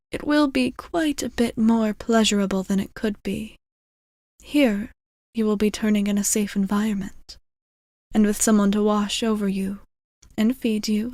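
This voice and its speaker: A gentle female vampire voiced by a woman murmurs to a single listener in an intimate ASMR roleplay. Her delivery is very measured and soothing, with soft phrases that often fall into whispers. The sound is close and dry, with little trace of room. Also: mid-range voice, no echo, lightly acted. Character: gentle, caring centuries-old female vampire bartender. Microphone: close microphone, very dry.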